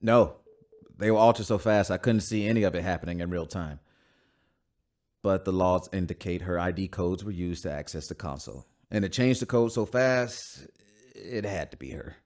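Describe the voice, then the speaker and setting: An actor playing an easygoing starship pilot with a laid-back, gruffly kind manner. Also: male voice, low voice, moderate pace, darkish tone, close to an everyday voice.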